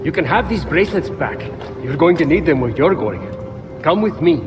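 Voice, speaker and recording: An actor playing a quick-witted, unapologetic young male thief in a video game, glib and persuasive. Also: on the high side, animated, close to an everyday voice. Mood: glib, urgent. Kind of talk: video game fantasy acting. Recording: reverberant sound, music behind.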